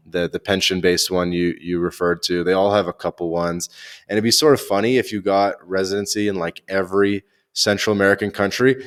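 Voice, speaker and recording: A man's voice: sits low, smooth. A man hosting a podcast interview, chatty and enthusiastic, with lots of "uh" and "like". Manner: enthusiastic, chatty, hesitant fillers like "uh" and "like". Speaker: podcast host. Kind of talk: casual interview chat.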